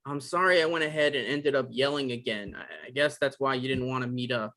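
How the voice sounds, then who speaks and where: A man's voice: medium pitch, brisk, pushed and bright, close to an everyday voice. A man acting an anxious, easily flustered novelist in a conversational comedy script reading. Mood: subdued. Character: anxious, defensive male novelist in his thirties.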